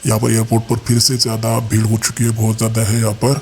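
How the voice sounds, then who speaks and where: A man's voice: lowish voice, monotone, fast, darkish tone, a little hiss or hum. An Indian man hosting a gaming channel, giving casual gameplay commentary and explaining things to viewers as his friends.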